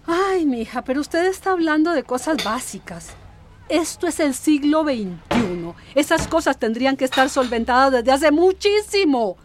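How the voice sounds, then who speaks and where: Proud, high-voiced stubborn mother. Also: expressive, moderate pace, background noise. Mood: eager, scornful. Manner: emphatic, drawling key words, dismissive. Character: proud, stubborn, ambitious mother set on modern city ways. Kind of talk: radio soap opera acting.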